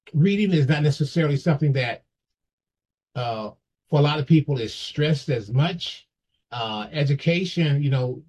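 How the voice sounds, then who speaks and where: A man hosting a casual interview show, talking haltingly with many fillers and restarts. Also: mid-range voice, darkish tone, slightly rough, poor audio. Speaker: host.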